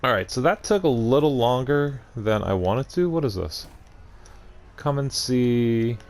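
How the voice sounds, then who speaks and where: A man's voice: medium pitch, expressive, a little slow. A man hosting a gaming video with casual let's play commentary.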